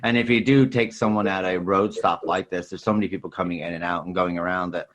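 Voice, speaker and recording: A man's voice: low voice, fairly even intonation, fast talker, a bit bright. A man co-hosting a casual film discussion, explaining things in a rambling, thinking-aloud way. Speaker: podcast co-host.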